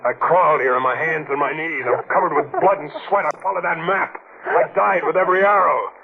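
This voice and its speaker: An actor playing a hard-boiled, greedy adventurer and killer in a melodramatic 1940s radio drama, with an American accent. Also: male voice, on the high side, brisk, close to an everyday voice. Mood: furious, desperate. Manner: hard-bitten, slangy, melodramatic. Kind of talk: 1940s radio drama acting, first-person narration and dialogue. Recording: scratchy, noisy, narrow-band 1940s radio recording.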